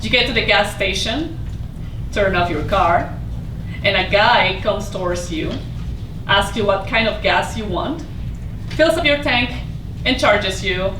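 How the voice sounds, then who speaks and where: A woman's voice: mid-range voice, measured pace, pauses often, natural voice. A woman acting in a staged play reading as a proud, prickly hotel housekeeper from Mexico, with a wry, conversational manner. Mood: candid, explaining. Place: indoor staged reading before an audience. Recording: roomy, distant mic, noisy live stage.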